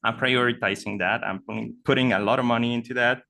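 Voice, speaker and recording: A man's voice: medium pitch, fairly even intonation, quick pace, vocal fry, pushed and bright. A young man from Mexico with a Mexican Spanish accent, a college student talking hesitantly and repeating words on a webinar call. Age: young adult. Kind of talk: casual conversation. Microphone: computer mic on video call.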